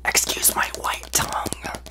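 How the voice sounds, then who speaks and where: A young man voicing a brash, self-absorbed young female pop star in a breathy, gushing ASMR whisper close to the microphone. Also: a little slow, pushed and bright. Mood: hyper, chatty. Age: young adult. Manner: gushing, exaggerated, boastful, rambling. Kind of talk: ASMR roleplay, celebrity parody.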